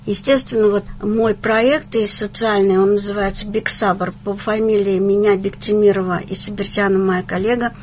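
A woman's voice: medium pitch, fairly even intonation, normal speed, hardly any pauses, slight background noise. A woman in her sixties from Tatarstan in Russia, talking enthusiastically and at length in a conversational interview as a guest. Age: 60s. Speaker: senior volunteer, interview guest.

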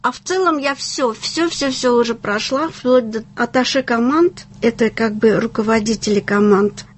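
A woman in her sixties with a slightly high voice talks in an interview. She is enthusiastic and talkative, telling of her experience in a conversational way. She comes from Tatarstan in Russia. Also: moderate pace. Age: 60s. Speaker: senior volunteer, interview guest.

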